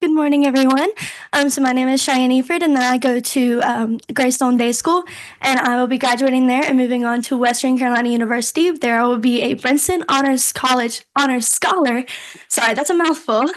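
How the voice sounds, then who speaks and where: A young woman in her late teens with a clearly high voice gives short prepared remarks. She sounds earnest and a little self-deprecating. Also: fairly even intonation, brisk. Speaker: scholarship student.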